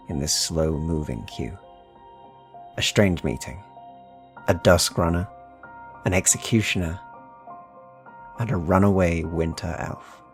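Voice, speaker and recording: Male game master, low voice, atmospheric storytelling, deliberate phrasing, faint music bed. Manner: measured, deliberate phrasing with dramatic pauses. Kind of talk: atmospheric fantasy storytelling narration.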